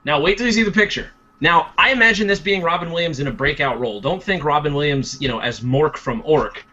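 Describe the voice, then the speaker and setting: Bright, eager male player on a call. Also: fairly high pitch, brisk, dull audio. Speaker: tabletop game player and channel host. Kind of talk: casual chat on a game show.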